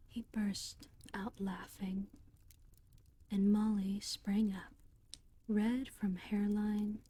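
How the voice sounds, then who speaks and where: A woman narrating a storybook entirely in a soft, steady whisper. She reads at a very slow pace with a dark, mellow tone. A faint hiss sits underneath. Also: medium pitch. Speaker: audiobook reader.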